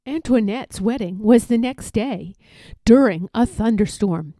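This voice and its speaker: Slow, lively woman reading a storybook. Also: a little high, no echo. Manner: deliberate, animated storytelling. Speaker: read-aloud storyteller. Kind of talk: expressive children's picture book narration.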